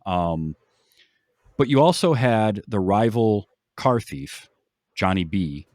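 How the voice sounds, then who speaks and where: A man hosting a casual film discussion, explaining things in a measured, halting way. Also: lowish voice, animated, darkish tone, no echo, studio quality. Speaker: podcast host.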